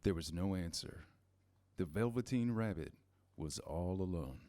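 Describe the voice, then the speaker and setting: A man's voice: deep voice, animated, measured pace. A man reading scripted storybook narration as the narrator of a children's tale. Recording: soft guitar music behind.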